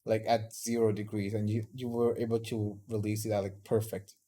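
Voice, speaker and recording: A man's voice: on the low side, level tone, normal speed, dark tone. A young man chatting casually with friends on a podcast, rambling with lots of restarts and fillers. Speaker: podcast co-host, student. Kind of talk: casual chat.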